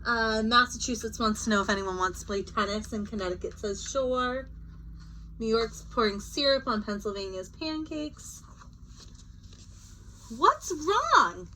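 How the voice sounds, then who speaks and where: A woman teacher doing a children's read-aloud, expressive and chatty, with casual asides. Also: medium pitch, a little fast, hardly any pauses, a little hiss or hum, rough recording.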